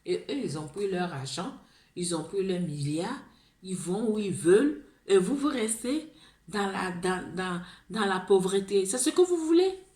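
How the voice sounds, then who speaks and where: A woman's voice: medium pitch, lively intonation, measured pace, dark tone. Pleading Ivorian French woman, echoey room. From Ivory Coast. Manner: pleading, repeats herself, stammering restarts. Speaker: video blogger. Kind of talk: impassioned monologue to the camera.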